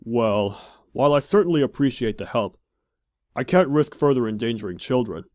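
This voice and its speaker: A man's voice: mid-range voice, lively intonation, moderate pace, a little mellow, no room sound, lightly acted. A man voicing a dry, level-headed warrior leader in a cartoon fan dub. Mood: measured.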